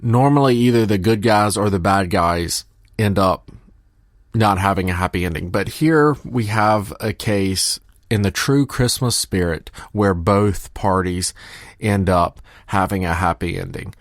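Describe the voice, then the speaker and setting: Low-pitched man hosting a podcast, dry sound. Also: a little slow, dry recording. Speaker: podcast host. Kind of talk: casual commentary on old radio shows.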